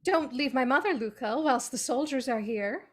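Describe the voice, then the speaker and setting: A woman's voice: sits high, expressive, moderate pace, crowd noise. A woman reading a haughty young noblewoman. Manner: haughty, earnest and indignant. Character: proud, high-minded young noblewoman. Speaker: cast reader in a play reading. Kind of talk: cold-read stage play reading. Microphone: video call.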